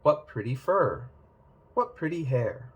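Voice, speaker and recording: A man's voice: medium pitch, very animated, takes it slowly, breathy edge, muffled recording, heavily acted. A man voicing a polite, innocent, chatty little girl in a storybook read-aloud. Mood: admiring.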